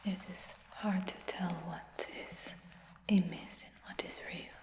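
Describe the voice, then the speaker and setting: Slow soft whispering lady, asmr roleplay, echoey roomy sound. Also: lowish voice, steady tone. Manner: soft, gentle whisper addressing the listener. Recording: roomy whisper with long reverb.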